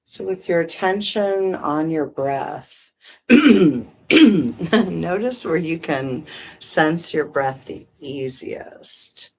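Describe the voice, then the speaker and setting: Slow, gravelly-voiced meditation teacher. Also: mid-range voice, lively intonation. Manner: gentle, permissive, pausing between short phrases. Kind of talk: guided meditation, unhurried instruction.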